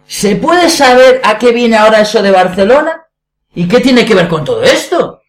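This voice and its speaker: An actor as excitable, high-voiced blustering Spanish husband. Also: male voice, moderate pace. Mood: indignant. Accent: Spanish from Spain. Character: indignant cuckolded husband in a farce, blustering. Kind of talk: radio play comedy acting.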